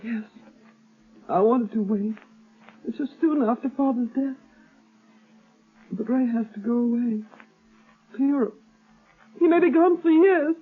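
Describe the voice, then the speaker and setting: A woman's voice: fairly high pitch, measured pace, pauses often, husky. An actress playing a grieving, trusting young woman in a vintage radio drama, speaking in a hesitant, plaintive way. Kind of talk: 1940s radio acting. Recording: tinny old radio broadcast with faint music.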